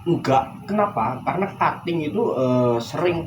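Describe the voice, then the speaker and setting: A man's voice: fairly high pitch, expressive, moderate pace, reverberant, rough recording. A young adult man, explaining himself point by point in casual, colloquial conversation. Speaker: university student, counselling client. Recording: clear background noise, room ambience.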